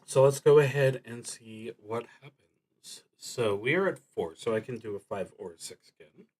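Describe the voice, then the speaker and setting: A man streaming a solo tabletop roleplaying game, thinking aloud in improvised commentary with a hesitant, halting delivery that trails off mid-thought. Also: medium pitch, a little mellow.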